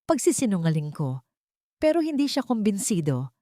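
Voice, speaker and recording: A woman's voice: medium pitch, sing-song, normal speed, warm tone, dry recording, clean studio sound. A woman with a Filipino accent narrating a dramatic first-person story as an audiobook reader.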